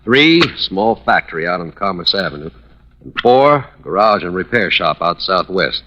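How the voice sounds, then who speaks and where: An actor playing a worried, folksy Texas insurance manager in a 1950s radio drama, with drawling, colloquial talk. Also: male voice, low voice, sing-song, moderate pace. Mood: weary, matter-of-fact. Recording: dull, lo-fi old radio recording.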